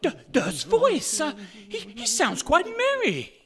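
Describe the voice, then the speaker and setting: A woman playing a flustered, anxious wife in a radio play, with breathy, stammering speech. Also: extremely high pitch, wildly swooping pitch, takes it slowly, a crowd in the background, exaggerated voice. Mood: flustered, dismayed.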